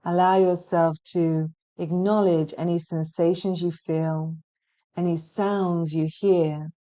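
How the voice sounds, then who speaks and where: Very mellow, slow-speaking woman guiding relaxation. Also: on the low side, fairly even intonation. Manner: soothing, gentle, measured with long pauses. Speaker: hypnotherapy practitioner guiding meditation. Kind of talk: guided relaxation meditation, hypnotic scripted narration.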